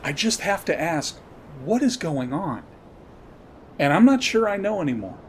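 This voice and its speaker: Blunt man podcasting over rumbly background noise. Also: very animated. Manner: halting, searching delivery with pauses and repetitions; blunt, profane. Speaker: podcast host. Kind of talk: unscripted political commentary monologue. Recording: noisy, with low rumble behind.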